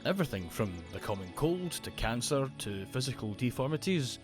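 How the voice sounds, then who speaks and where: A man voicing a friendly, curious robot companion who speaks in a polite, measured and earnest way in an audio roleplay. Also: medium pitch, very animated, music in the background, close to an everyday voice. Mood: earnest, assured.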